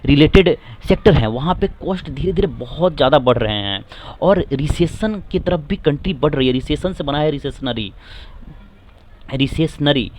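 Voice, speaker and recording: A man with an Indian accent explaining an English newspaper editorial in Hindi to exam students, reading phrases slowly and spelling words out letter by letter. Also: fairly high pitch, darkish tone. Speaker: English teacher.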